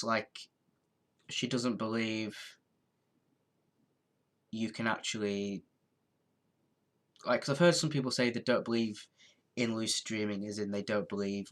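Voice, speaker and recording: A young man in his twenties talks in a somewhat low voice, stuffy with a head cold and worn out. He chats loosely on a live stream, trailing off and starting his sentences over. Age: 20s. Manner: rambling, trailing off and restarting sentences. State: congested with a head cold, tired. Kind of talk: casual live-stream chat.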